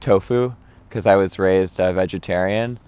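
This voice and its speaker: A young man from New York casually telling childhood stories to camera, animated and loose. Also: low voice, moderate pace, smooth. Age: young adult. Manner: loose, animated, acts out quotes in voices. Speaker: interviewee, young artist. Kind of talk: casual personal storytelling to camera.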